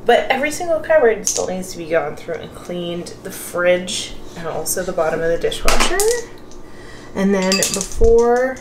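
A woman's voice: expressive, normal speed, roomy sound, background noise. A woman in her thirties from Canada narrating her home cleaning in a casual, chatty vlog, recorded in a small tiled condo. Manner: chatty, talks to her toddler in a high sing-song. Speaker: vlogger.

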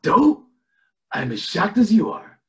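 A man voicing a haughty, scheming old cartoon boss, raspy and pompous. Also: high voice, huge pitch swings, takes it slowly, full character voice. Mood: evasive. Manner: pompous, dismissive.

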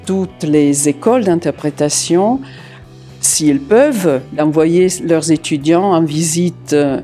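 A middle-aged woman with an Italian accent, an interpreter answering interview questions with many hesitant filler pauses, seated in an office. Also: low voice, warm tone. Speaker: court interpreter. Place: office room with large window.

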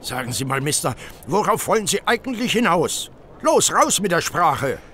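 A surly poultry dealer in an audio drama. He is curt and combative, with a tense, forceful voice pitched a little high. Faint street ambience sits behind him. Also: big pitch swings, moderate pace, slight background noise, exaggerated voice. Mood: irritated. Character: surly, irritable male London poultry dealer. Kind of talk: German audio drama acting.

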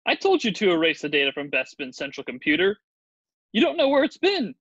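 A man's voice: high voice, huge pitch swings, fairly quick, a bit bright, husky, dry recording, heavily acted. A man voicing a prim, anxious, scolding protocol droid in a fan table read of a film script. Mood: fussy scolding. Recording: muffled video-call audio.

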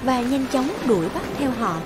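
A woman's voice: high voice, lively intonation, normal speed, soft background music. A woman storyteller giving scripted narration of a fairy tale.

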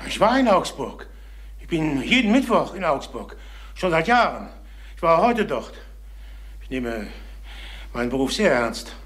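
Halting, defensive middle-aged salesman. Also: fairly high pitch, big pitch swings, a bit bright. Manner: halting, repeating himself, defensive. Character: troubled middle-aged travelling salesman hiding his dismissal. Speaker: actor. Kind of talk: German crime radio drama acting. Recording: noisy vintage radio drama recording.

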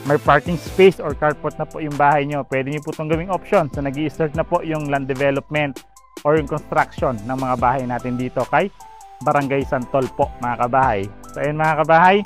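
A man's voice: a little high, steady tone, brisk, faint music behind. A man in his thirties from the Philippines, an agent hosting a walking site tour outdoors on a lapel mic, talking in an upbeat sales patter full of fillers. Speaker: real estate agent and channel host. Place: outdoor construction site, sunny and open. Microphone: clip-on lapel mic.

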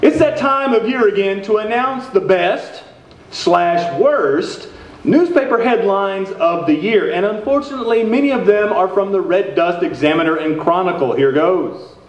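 A man's voice: high voice, animated, normal speed. A man playing a small-town radio announcer, reading scripted links in a live comedy show. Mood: upbeat, announcing. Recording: echoey, dull theater recording.

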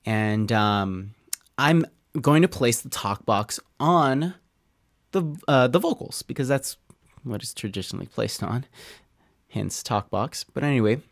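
Easygoing guy walking through audio software step by step, mid voice, close and dry. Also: mid-range voice, expressive, a little slow, no room sound. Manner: easygoing, conversational, informal asides. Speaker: audio engineer giving a tutorial. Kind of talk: casual software tutorial talk.

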